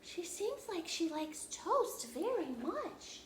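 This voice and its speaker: Woman doing a sweet gentle sister voice, high and soft. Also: big pitch swings, takes it slowly, full character voice. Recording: roomy echo.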